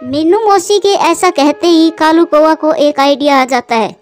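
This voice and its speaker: A woman narrates a scripted children's story. Her voice is high, and faint music plays beneath it. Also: lively intonation, moderate pace. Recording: music behind the voice.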